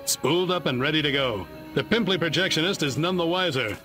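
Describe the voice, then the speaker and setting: Red-scare G-man: bright, forceful, higher-pitched, slick pitchman delivery for a campy game. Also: lively intonation, a little fast, soft background music. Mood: smug. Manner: slick, salesman-like patriotic patter. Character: smooth-talking, fervent 1950s anti-communist government agent. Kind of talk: campy video game voice acting.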